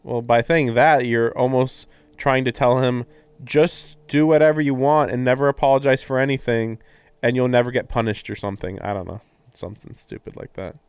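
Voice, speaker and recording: A man's voice: mid-range voice, fairly even intonation, normal speed, smooth, no echo. A man reading a visual novel's narration aloud on a stream, breaking into casual commentary.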